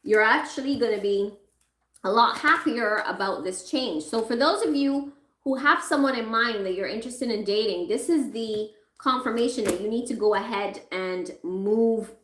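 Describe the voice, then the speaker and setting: A woman reading tarot cards for her viewers in a chatty, reassuring way. She is losing her voice and keeps clearing her throat. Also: a little high, moderate pace, a bit bright, roomy sound, a little hiss or hum. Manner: chatty, reassuring, thinks aloud while pulling cards. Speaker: tarot reader.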